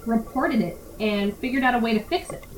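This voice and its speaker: Casting: actress as high-pitched, earnest woman diver for radio play; roomy echo, watery noise behind. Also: a little fast, lightly acted. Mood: passionate. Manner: earnest, confident. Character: passionate, reassuring woman marine diver and camera operator. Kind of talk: 1930s-40s style radio drama acting. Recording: echoey, distant, noisy, watery ambience.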